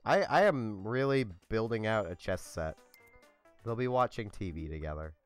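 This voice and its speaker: A young man hosting a let's play, talking in a monotone, low-key, rambling way with a slightly scratchy voice. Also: moderate pace, clean tone, soft background music. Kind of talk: casual gameplay commentary.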